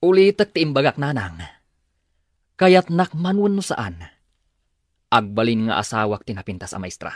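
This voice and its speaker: A man's voice: mid-range voice, expressive, normal speed, pauses often, dry recording, studio quality, lightly acted. A man playing a stubborn, lovestruck grown son, insistent and whining, in a radio drama.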